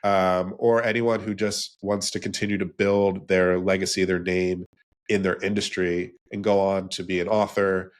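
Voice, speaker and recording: A man's voice: deep voice, flat delivery, normal speed. A man with a cold, a bit nasal, speaking casually as a podcast guest, explaining his branding business in long rambling runs. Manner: rambling, restarts, lists in threes. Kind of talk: casual interview talk.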